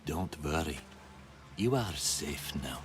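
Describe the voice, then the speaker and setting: An actor playing a calm, taunting villainous hunter in a video game, menacing and mocking in manner. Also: male voice, rumbling low, slow, over music, full character voice. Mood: falsely soothing.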